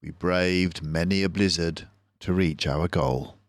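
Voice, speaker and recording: An older man reading a children's storybook aloud as narrator, in a measured, deliberate manner. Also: low voice, fairly even intonation, dry recording.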